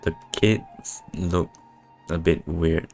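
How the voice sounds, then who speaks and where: A man's voice: deep voice, steady tone, extremely slow, creaky. A man giving casual, unscripted live gameplay commentary as a streamer, muttering to himself. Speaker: game streamer. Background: faint game music.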